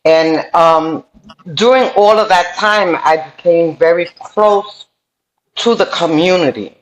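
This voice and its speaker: A woman's voice: on the low side, slow, slight background noise. Middle-aged nyc woman, spanish plus new york accent, earnest guest talk w lots of ums, echoey room. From New York City. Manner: earnest, with frequent um fillers and restarts. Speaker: podcast guest. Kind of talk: telling her life story in an interview. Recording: roomy echoey room sound.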